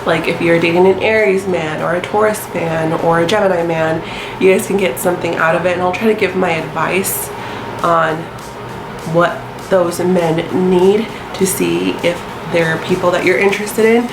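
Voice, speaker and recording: A woman's voice: on the low side, fairly even intonation, moderate pace, roomy sound, music in the background, low-quality recording. A young woman in her twenties with a stuffy nose from a sinus infection, chatting casually to camera with lots of ums and likes. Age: 20s. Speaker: astrology vlogger.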